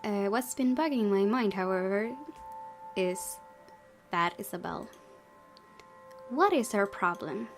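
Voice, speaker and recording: A woman's voice: mid-range voice, animated, slow, pauses often. A woman hosting a gaming video, chatting and reading aloud in a reactive way. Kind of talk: playthrough commentary and reading aloud. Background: game music.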